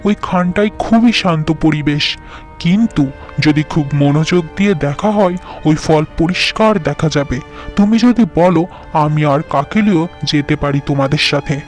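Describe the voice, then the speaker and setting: A man's voice: a little high, moderate pace, faint music behind, natural voice. A man voicing a scheming, persuasive younger-brother uncle in a fairy-tale cartoon. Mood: coaxing.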